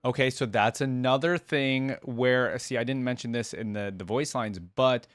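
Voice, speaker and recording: A man's voice: mid-range voice, normal speed, dry recording, clean studio sound. A man hosting a reaction video, thinking aloud in casual, meandering commentary. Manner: musing, rambling, hedging.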